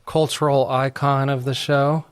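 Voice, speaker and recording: Texan male host, flat and even, smooth tone. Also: mid-range voice, a little slow. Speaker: attorney and radio host. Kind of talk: radio talk show hosting.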